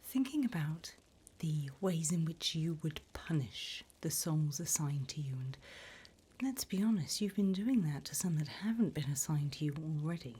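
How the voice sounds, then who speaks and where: A woman playing a courteous, sinister demon interviewer in a slow, breathy whisper for an ASMR roleplay. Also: low voice. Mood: politely sinister. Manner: slow, deliberate, courteous, softly menacing. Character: infernal demon interviewer, politely sinister, delighted by wickedness.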